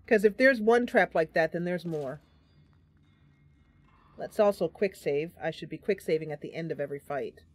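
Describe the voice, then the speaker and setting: A woman hosting a casual game livestream, chatty and animated, talking through her play and reading bits of story aloud. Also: lowish voice, normal speed, pauses often, no room sound. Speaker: streamer and host playing a fantasy role-playing game. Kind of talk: casual livestream gameplay commentary with narration read aloud.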